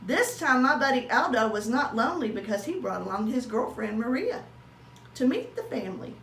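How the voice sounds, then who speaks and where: A woman reading a children's story aloud in an animated way, drawing out words for dramatic emphasis. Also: a little high, normal speed. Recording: roomy echo with faint noise.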